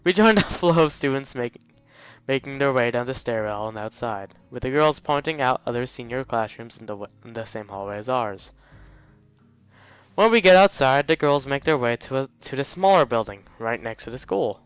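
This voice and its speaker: Clear-voiced man reading a game aloud. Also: medium pitch, expressive, moderate pace, dry recording, highs cut off. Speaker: let's play host reading a visual novel aloud. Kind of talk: reading game text aloud with casual asides. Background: faint game music.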